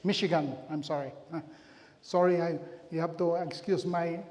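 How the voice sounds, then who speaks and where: A middle-aged man with a Filipino accent speaks in a pitched-up voice to a community gathering. He tells family stories in a relaxed, self-deprecating way. Long reverb from the church hall trails behind him. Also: moderate pace. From Philippines. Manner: chatty, self-deprecating, loose storytelling with asides. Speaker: pastor giving a health talk. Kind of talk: community health presentation.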